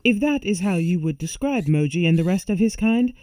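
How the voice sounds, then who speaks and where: Woman as a calm, formal alien machine being. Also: fairly low pitch, no echo, close to an everyday voice. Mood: calm, grave. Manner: formal, measured, precise. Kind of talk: sci-fi audio drama acting.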